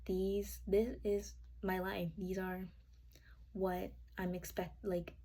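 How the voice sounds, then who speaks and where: A woman's voice: mid-range voice, flat delivery, slow pace, warm tone. A young woman whose first language is Spanish, giving an informal class presentation from her notes, casual with fillers and self-corrections. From United States. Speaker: student.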